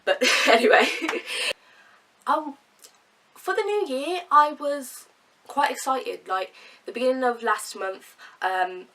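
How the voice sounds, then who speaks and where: A woman's voice: high voice, slow pace, pauses often, pushed and bright, recorded from a distance. A young woman with a cold and a nasal voice, talking earnestly to camera in a chatty vlog. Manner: chatty, earnest, with fillers and laughs. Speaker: vlogger. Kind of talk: casual talk to camera.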